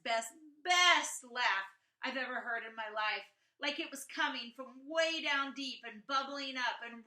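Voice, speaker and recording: An earnest schoolboy from a storybook , acted out by a woman, gushing and emphatic as he reads out his writing. The voice is very bright and high, taking its time over the words in an echoey room. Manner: emphatic, halting repetition of words. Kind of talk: expressive storybook read-aloud.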